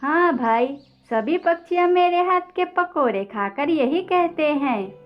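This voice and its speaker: A woman's voice: sits high, animated, takes it slowly. Lady as a plaintive girl bird in a cartoon, slightly muffled, faint music under her. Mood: earnest. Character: earnest, worried young female bird shopkeeper.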